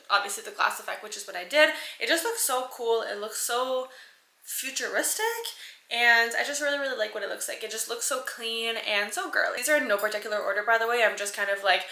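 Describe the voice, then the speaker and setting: An upbeat woman vlogger from Canada, French her first language, chats excitedly to camera. Her voice sits a little high and sounds bright and crisp. The room around her gives a noticeable echo. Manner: chatty, enthusiastic, upbeat. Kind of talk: casual chat to camera.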